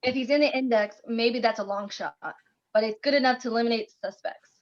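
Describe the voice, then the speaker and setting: A woman reads a dogged forensic examiner in a fairly high voice. The delivery is matter-of-fact, like a script read, over slightly dull call audio. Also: brisk, lightly acted. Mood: matter-of-fact, determined. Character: dogged forensic fingerprint examiner, dryly determined. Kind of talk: screenplay table read acting.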